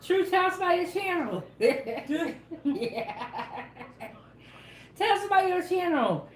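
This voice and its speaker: A woman's voice: extremely high pitch, big pitch swings, slow. A woman co-hosting a live cooking stream, chatting casually, reading viewer comments and laughing often. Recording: echoey room.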